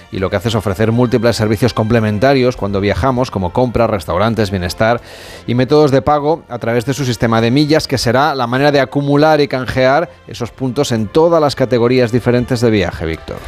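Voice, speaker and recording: Guy hosting radio interview, medium pitch, faint music behind. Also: brisk. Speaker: radio show host. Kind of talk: broadcast interview.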